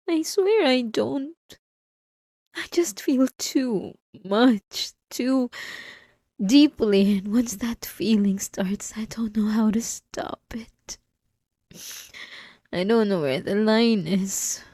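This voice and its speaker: A woman playing an obsessive, remorseful wife in an intimate ASMR roleplay, confessing in a halting, tearful way close to the microphone. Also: on the high side, a little mellow, no echo. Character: obsessive, possessive wife, remorseful, delusional and tormented. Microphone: close microphone, dry.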